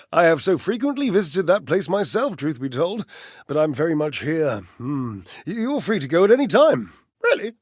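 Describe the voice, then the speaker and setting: Swoopy, flamboyant lovelorn game character. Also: male voice, a little high, no echo, studio quality. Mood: guarded, nervous. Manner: theatrical, verbose, halting with dramatic pauses and sighs. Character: flamboyant, lovelorn male household-object character, dramatic and flighty. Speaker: voice actor. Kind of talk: theatrical video game character dialogue.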